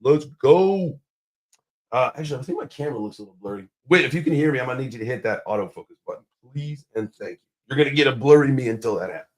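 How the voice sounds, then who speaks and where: A man hosting a casual crypto livestream. His voice is raspy and hoarse, and he talks in upbeat hype with lots of filler words. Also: mid-range voice, expressive, quick pace. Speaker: livestream host.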